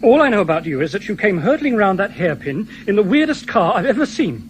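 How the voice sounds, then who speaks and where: An actor playing a helpful but bewildered camper in a radio play, incredulous and plain-spoken. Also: male voice, high-pitched, big pitch swings, fairly quick. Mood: disbelieving. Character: bewildered, helpful male weekend camper who acts on radio. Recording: noisy 1970s radio drama recording.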